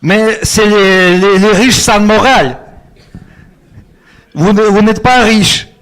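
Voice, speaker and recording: A middle-aged man from Ukraine, a writer guest speaking halting, non-native French on a book-fair panel and growing heated at times. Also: high-pitched, a bit bright. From Ukraine, of Russian origin.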